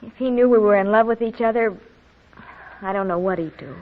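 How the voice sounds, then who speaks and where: A woman's voice: medium pitch, fairly quick, close to an everyday voice. A woman playing an anxious, dutiful country daughter in a 1940s radio drama, earnest and pleading. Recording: tinny, hissy old radio recording.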